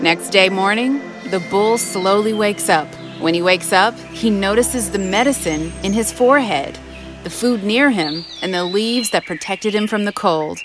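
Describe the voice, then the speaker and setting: A woman's voice: mid-range voice, measured pace. Woman narrating a children's story over music. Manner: clear, even storytelling.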